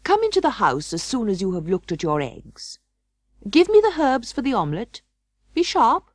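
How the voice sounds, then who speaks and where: Woman putting on a sharp, bossy gentleman fox, raised pitch. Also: huge pitch swings, moderate pace, no room sound, clean studio sound. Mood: abrupt, impatient. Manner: curt, commanding.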